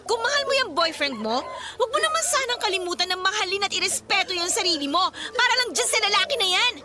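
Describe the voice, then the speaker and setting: An actress playing an outspoken, indignant young best friend in a radio drama, scolding bluntly with exclamations. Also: female voice, very high voice, big pitch swings, fast, loud music behind the voice. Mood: exasperated, scolding. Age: young adult.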